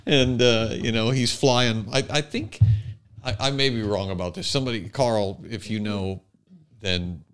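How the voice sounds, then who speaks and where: A man's voice: fairly low pitch, measured pace, a bit bright, clear, resonant tone. A man co-hosting a podcast, telling things casually and tentatively, often correcting himself. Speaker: podcast co-host. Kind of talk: casual conversational storytelling.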